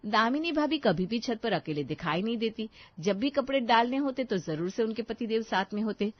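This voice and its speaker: High-voiced woman narrating a story. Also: normal speed, dry recording, lo-fi sound. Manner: expressive, dramatized storytelling. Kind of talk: scripted Hindi moral-story narration.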